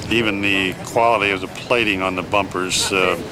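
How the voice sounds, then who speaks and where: A man talking casually in an interview about a hobby, pausing often with fillers. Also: lowish voice, fairly even intonation, crowd noise, low-quality recording. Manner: hesitant, many filler pauses. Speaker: classic car enthusiast interviewed at a convention. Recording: loud background noise.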